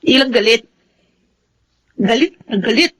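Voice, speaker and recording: Woman acting high-voiced, bitter young housemaid. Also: expressive, unhurried, a bit bright, slightly rough, exaggerated voice. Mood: angry. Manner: curt, accusing, emotive. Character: bitter, surly young housemaid, an abandoned daughter. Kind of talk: Filipino radio-style melodrama acting.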